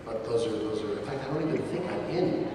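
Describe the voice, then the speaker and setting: A man from Canada who works as a voice actor, chatting in an animated, playful way on a convention panel. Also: medium pitch, quick pace. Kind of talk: unscripted panel chat to an audience. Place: large convention hall, panel before an audience. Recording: very echoey convention hall from far off, loud noise.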